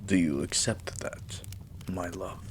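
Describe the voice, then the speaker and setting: A man playing a tender, devoted vampire king in a romantic ASMR roleplay, speaking in a hushed, breathy, soothing way that often slips into a whisper. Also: deep voice, steady tone, slight background noise. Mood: loving, solemn. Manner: hushed, slow, soothing, affectionate.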